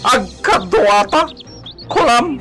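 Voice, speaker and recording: An actor playing a mocking, menacing bandit boss in a melodramatic radio drama, with a Filipino accent and a taunting, drawn-out delivery. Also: male voice, extremely high pitch, sing-song, pushed and bright, faint music behind, exaggerated voice.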